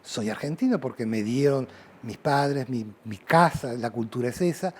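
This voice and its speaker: Middle-aged Rioplatense man, artist interviewee, musing and rambling, mid pitch. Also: moderate pace, a little mellow, husky. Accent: Rioplatense Spanish. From Uruguay. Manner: thoughtful, rambling, frequent fillers. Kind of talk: reflective personal interview.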